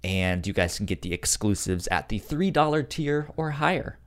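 A man's voice: fairly low pitch, lively intonation, moderate pace. Casual man hosting a podcast. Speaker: podcast host.

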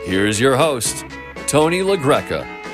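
A male announcer reads a scripted show opening in a measured, unhurried way. His voice is lively in its rise and fall, with clear plucked guitar music playing underneath. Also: mid-range voice. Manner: measured, slow announcer read.

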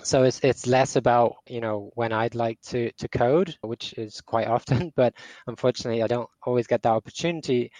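A man's voice: mid-range voice, fairly even intonation, moderate pace, darkish tone, no room sound, low-quality recording. Hesitant man in his forties, dry sound. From Portugal. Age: 40s. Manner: hesitant, with frequent restarts and repeated words. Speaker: startup CTO guest. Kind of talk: podcast interview.

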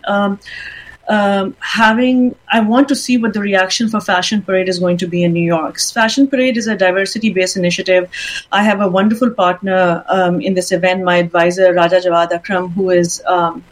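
A middle-aged woman from Pakistan answering interview questions as a guest, speaking in a measured way with frequent ums and restarts. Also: mid-range voice, steady tone. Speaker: guest, fashion event founder.

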